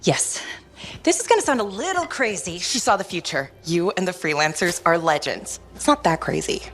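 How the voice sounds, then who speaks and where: An actress playing an eager, headstrong young woman out for adventure in a video game, lively and breezy. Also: on the low side, normal speed, faint music behind. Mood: excited. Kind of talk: dramatic video game cutscene acting.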